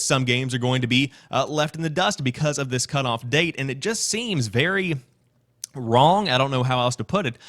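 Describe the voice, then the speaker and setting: A man hosting a gaming news show in an energetic, polished presenter style, mixing scripted reading with commentary. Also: medium pitch.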